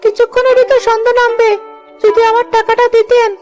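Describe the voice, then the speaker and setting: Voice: very high voice, flat delivery, brisk. A performer voicing a young village boy, an earnest, polite and protective brother in a moral-story cartoon. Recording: loud music bed under voice.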